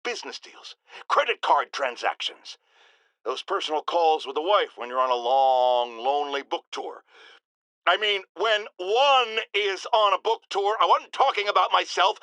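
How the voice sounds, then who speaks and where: A blustering middle-aged talk radio host from a video game, his voice pitched high and swinging dramatically. He is paranoid and indignant, hammering key words with theatrical force in a bright, cutting tone. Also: expressive, moderate pace, creaky, no echo, exaggerated voice, low-quality recording. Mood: suspicious, outraged. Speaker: radio call-in show host. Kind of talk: ranting talk radio monologue.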